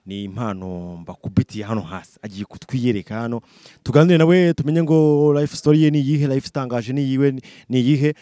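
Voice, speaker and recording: Quick host, mellow man's voice. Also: medium pitch, dry recording. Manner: quick, playful, frequent "mm" backchannels. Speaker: interview host. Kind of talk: casual interview.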